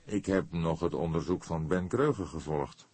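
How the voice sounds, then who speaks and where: A man voicing a calm, wry older male police inspector in an audiobook, with a measured, dryly ironic delivery. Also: low voice, husky, dry recording.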